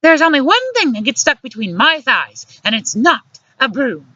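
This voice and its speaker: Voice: mid-range voice, very animated, moderate pace, fairly bright tone, no room sound, muffled recording, voice pushed up. A narrator voicing an exasperated, bossy woman who lectures sharply in formal wording, in an audiobook story. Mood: fierce retort. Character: exasperated, scolding adult woman, fierce and bossy lover.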